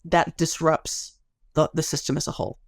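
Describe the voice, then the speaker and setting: Female academic guest, creaky voice in a dry recording, explaining conversationally with everyday examples. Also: deep voice, level tone, moderate pace, no room sound. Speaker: interview guest, academic researcher. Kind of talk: conversational interview, explaining research.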